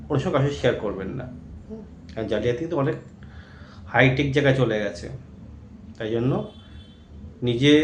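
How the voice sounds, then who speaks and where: A man's voice: mid-range voice, darkish tone. A man with an Indian Bengali accent giving an informal, earnest public-awareness talk in a cautioning manner. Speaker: video creator warning about fraud. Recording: echoey room with faint noise.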